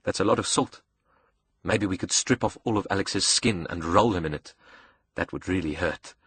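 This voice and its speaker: A man's voice: low voice, normal speed, fairly bright tone, a little hiss or hum. A man voicing a cocky, sadistic young villain in an audiobook, brash and sarcastic, with a South African accent. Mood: cruel glee. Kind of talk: audiobook character dialogue.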